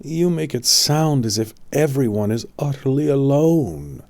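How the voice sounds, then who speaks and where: A man's voice: medium pitch, expressive, unhurried, darkish tone, pitched above the performer's own voice. A man voicing an anxious, questioning young boy, a wizard's disciple, in an audiobook story. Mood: distressed. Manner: questioning, earnest, distressed.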